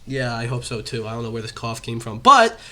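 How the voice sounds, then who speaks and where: A man's voice: medium pitch, very animated, fairly quick, crowd noise. A young man hosting a casual podcast chat, rambling with lots of fillers and nursing a cough. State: has a cough. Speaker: podcast host.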